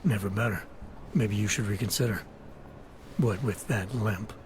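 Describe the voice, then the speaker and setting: A man playing a gruff male special agent in a video game, clipped and skeptical. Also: normal speed, a little hiss or hum, natural voice. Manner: clipped, low-key and skeptical.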